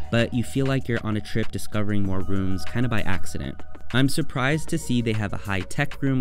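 A man in his thirties from the United States, talking as a travel vlogger in a chatty, enthusiastic way while touring an expo site at night. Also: lowish voice. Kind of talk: travel vlog narration and on-camera talk. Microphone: phone or camera held at arm's length.

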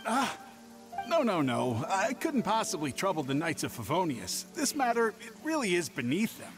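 A man's voice: sits high, huge pitch swings, moderate pace, over music. A man voicing a nervous, shifty young merchant in a video game, hesitant and evasive. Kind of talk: scripted video game voice acting.

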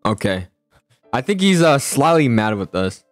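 A young man improvising a casual game roleplay as a student, slangy and excitable, often stammering and repeating himself. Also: medium pitch, measured pace, no room sound, faint music behind. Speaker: roleplay video host playing a student.

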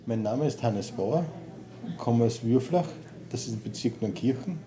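A middle-aged man from Lower Austria talking plainly as a long-time patient. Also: animated, a little slow, a crowd in the background. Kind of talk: interview testimonial. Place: office, indoors.

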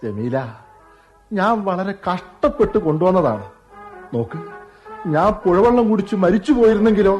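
A cheeky young husband, highish voice that swings all over, faint music behind. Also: male voice, expressive, moderate pace, pauses often, darkish tone, lo-fi sound. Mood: coaxing. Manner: teasing, cajoling, mock-threatening. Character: playful stubborn young husband doting on wife. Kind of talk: radio play acting.

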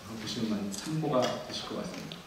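A man's voice: fairly low pitch, animated, recorded from a distance, a little hiss or hum. Man giving a keynote in an echoey hall. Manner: measured, many fillers and restarts. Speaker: conference keynote speaker. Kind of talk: technical conference talk. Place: large dark auditorium.

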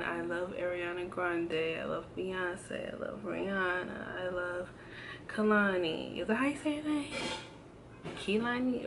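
A young woman in her twenties talks to her camera at a slow, unhurried pace, filling gaps with ums and restarts. Her voice sits a little low, and the recording carries a faint background hiss. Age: 20s. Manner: relaxed, hesitant, many ums and restarts. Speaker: vlogger. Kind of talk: casual tag video chat. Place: indoors at home, in front of a backdrop. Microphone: camera framed close, seated.

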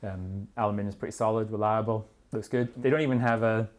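A man's voice: on the low side, steady tone, fast. A man in his thirties talking informally as a bike reviewer, often hedging with filler words like "like" and "kinda". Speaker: mountain bike magazine reviewer. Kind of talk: casual product review discussion. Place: small indoor studio workshop.

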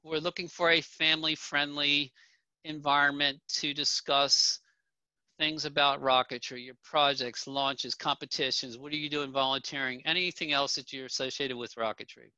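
Bright-voiced man hosting a video call. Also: a little high, level tone, moderate pace, smooth, dry recording. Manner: plain-spoken, with frequent ums and restarts. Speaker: association president presenting at a town hall. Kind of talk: presenting slides at an online town hall. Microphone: video call webcam. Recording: dull, dry video call audio.

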